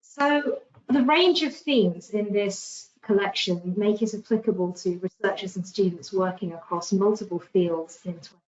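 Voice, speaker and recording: Female webinar presenter, lowish pitch, informal and hesitant delivery, dull online-call recording.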